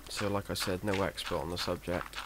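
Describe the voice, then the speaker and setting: A man's voice: low-pitched, brisk. A man, rambling hesitantly and self-deprecatingly through casual gameplay commentary. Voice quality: monotone, self-described. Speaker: Minecraft let's player. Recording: noisy home recording.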